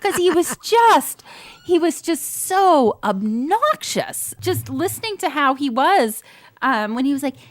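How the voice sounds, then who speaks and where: Blunt, higher-voiced woman, dry sound. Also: big pitch swings, unhurried, no echo. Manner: blunt, emphatic, drawing out words for stress. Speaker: podcast panelist. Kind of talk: casual opinionated review chat.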